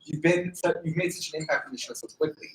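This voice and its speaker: A man's voice: fairly high pitch, steady tone, fast, roomy sound, a little hiss or hum, poor audio. A man hosting a live podcast, rambling and often restarting his sentences. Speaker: podcast host.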